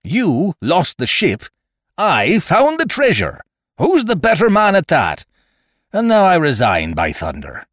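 A man voicing a cunning, domineering pirate sea-cook in an audiobook, with rough sailor dialect and a forceful, taunting manner. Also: on the low side, very animated, takes it slowly, no echo, studio quality. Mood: angry, defiant. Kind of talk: audiobook character voice.

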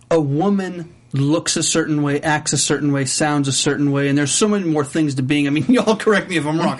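A chatty man hosting a casual podcast. His voice sits a touch high for a man. He laughs easily and thinks out loud. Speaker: podcast host. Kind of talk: casual chat about a reality show.

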